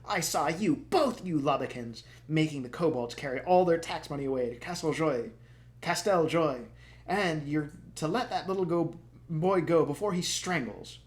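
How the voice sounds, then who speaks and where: Man putting on a bold, high young girl. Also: wildly swooping pitch, fast talker, few pauses, darkish tone, heavily acted. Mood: accusing. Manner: urgent, high and insistent.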